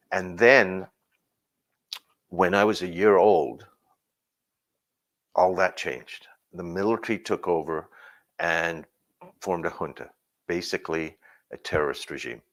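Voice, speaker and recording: A man from Burma telling his personal story in a reflective way, pausing and restarting as he talks. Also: low voice, a bit bright. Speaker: speaker sharing his story.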